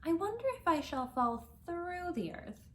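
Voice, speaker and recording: A woman's voice: extremely high pitch, animated, moderate pace, fairly bright tone, slight background noise, voice pushed up. A woman voicing a curious, chatty little girl who wonders aloud to herself in an earnest way, in a storybook reading. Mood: wondering.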